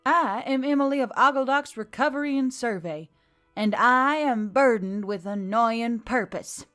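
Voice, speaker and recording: A woman's voice: fairly high pitch, measured pace, a bit bright, faint music behind. A woman voicing a wry, weary female adventurer with dry, understated delivery in a fantasy audiobook.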